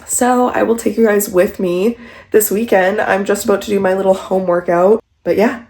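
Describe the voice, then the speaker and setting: A woman's voice: medium pitch, fairly even intonation, normal speed, a little mellow. A young woman vlogging at home, talking casually to the camera with a stuffy, congested voice and lots of "like" and "um" fillers. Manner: chatty, rambling, frequent "like" and "um". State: congested, recovering from a cold. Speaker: vlogger and pilates instructor. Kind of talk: casual vlog talk to camera.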